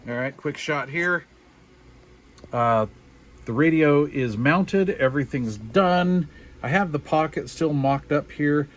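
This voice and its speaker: A man's voice: fairly high pitch, slow. A man giving a casual, unscripted hobbyist show-and-tell in a folksy, colloquial, self-deprecating way. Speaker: hobbyist showing a DIY radio build.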